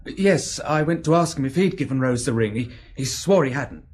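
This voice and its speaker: An actor playing an earnest young country son in a radio drama, speaking with a faltering manner. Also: male voice, medium pitch, animated, moderate pace, darkish tone, natural voice.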